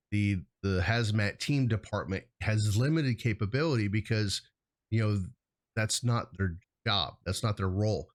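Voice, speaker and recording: A man co-hosting a casual shop-talk discussion, speaking in an informal, plain-spoken way. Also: fairly low pitch. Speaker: hazmat consultant and show host. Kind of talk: casual chat, professional topic.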